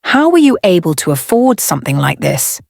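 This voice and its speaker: A woman's voice: lowish voice, sing-song, brisk, vocal fry, a little mellow, dry recording, clean studio sound. A woman voicing a questioning wife in a storybook tale. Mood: puzzled. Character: questioning wife of a church prophet.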